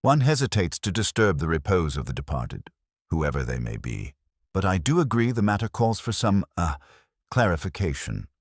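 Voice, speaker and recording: A man's voice: low-pitched, husky, no room sound, studio quality, full character voice. A man voicing a weary, genteel country vicar with hesitant, prim, formal phrasing in a story reading.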